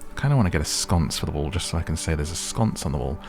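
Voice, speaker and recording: A man giving relaxed ASMR gameplay commentary, musing gently and whimsically to the listener. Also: very deep voice, very fast, gravelly, faint music behind. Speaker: gaming video host.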